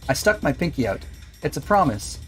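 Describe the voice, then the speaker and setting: A man reads a visual novel aloud for a livestream. His pitch moves around a lot as he narrates, and he slips into character voices for dialogue. Game music plays softly behind him. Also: sing-song, a little fast. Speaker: streamer. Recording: music under the voice.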